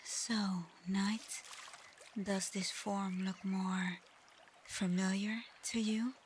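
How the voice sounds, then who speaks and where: A gentle shapeshifting dragon in human form, acted out by a woman in an ASMR roleplay. She speaks slowly and softly in an even, restrained tone, halting with regret and often slipping toward a whisper. Faint ambient noise sits behind the voice. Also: medium pitch. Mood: teasing, knowing. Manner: soft, breathy, often near-whispered, halting.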